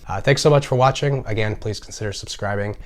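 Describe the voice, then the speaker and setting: A man with a slightly raspy voice from a recent cold, talking casually as the host of an informational vlog. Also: on the low side, quick pace. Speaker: personal finance video host.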